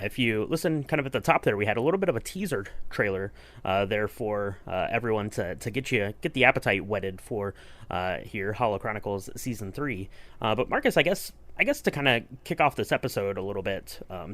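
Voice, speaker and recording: A man's voice: fairly low pitch, lively intonation, a little fast, a bit bright. A man hosting a podcast in a casual chat, feeling his way through questions with upbeat, approving remarks. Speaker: podcast host.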